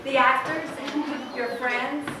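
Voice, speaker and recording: A woman's voice: sits high, steady tone, slow pace. A woman presenter giving an informal thank-you announcement to an audience. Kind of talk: informal curtain-call announcement. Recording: very echoey hall, distant mic, loud noise.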